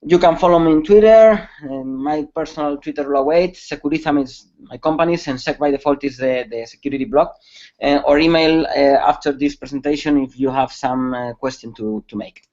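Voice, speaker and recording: A man's voice: fairly high pitch, fairly quick, a little mellow. A man from Spain with a Spanish accent, giving a webcast security talk in English, hesitant with many fillers and restarts. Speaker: security conference speaker. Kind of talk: webcast presentation. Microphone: computer mic on a video call. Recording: dull lo-fi webcast audio.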